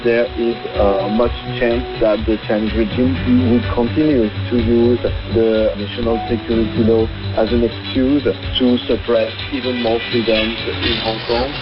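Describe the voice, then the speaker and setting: Flat-toned male commentator over music. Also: medium pitch, moderate pace, hardly any pauses. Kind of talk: spoken analysis with pauses. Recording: dull shortwave radio broadcast.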